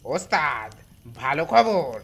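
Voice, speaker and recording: A man's voice: fairly high pitch, big pitch swings, slow pace, gravelly, fairly bright tone, exaggerated voice. A man voicing an eager bandit henchman in a cartoon, deferential to his boss. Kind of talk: cartoon voice acting.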